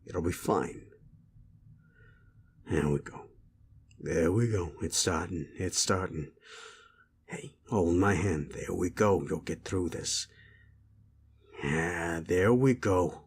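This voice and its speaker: Gravelly, low-voiced gruff werewolf played by a man. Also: a little hiss or hum. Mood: soothing, protective. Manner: blunt, coarse, halting, coaxing. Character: gruff, cocky male werewolf turning protective of partner. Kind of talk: intimate audio roleplay acting.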